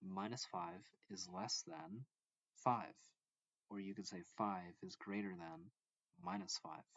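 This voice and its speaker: A man reading a math textbook aloud as a teacher, explaining in short, deliberate phrases. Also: lowish voice, big pitch swings, gravelly, a bit bright. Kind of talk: instructional read-along of a math textbook. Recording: dull lo-fi, echoey room.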